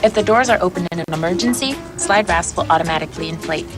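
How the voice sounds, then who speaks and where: A woman's voice: fairly low pitch, quick pace. A woman narrating scripted aircraft safety instructions as a video presenter. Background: music.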